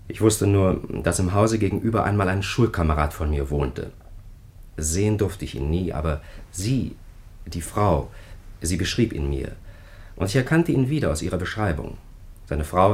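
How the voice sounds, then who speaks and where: Male chaplain, 1950s German radio play: low pitch, terse, grave, quietly stubborn. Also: expressive, moderate pace. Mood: wistful, subdued.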